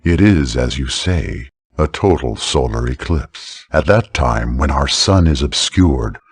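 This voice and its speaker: A man voicing a grave, formal scholar in a video game, speaking in a measured, deliberate way. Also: extremely low pitch, lively intonation, husky, heavily acted. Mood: grave, ceremonious. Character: grave, formal male scholar serving a dark lord.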